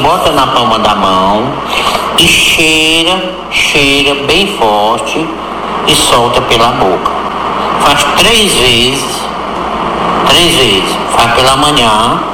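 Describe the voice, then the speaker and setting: A man giving a repetitive, emphatic and instructive sales pitch for a product. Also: reverberant, big-room sound, very noisy. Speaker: product spokesman.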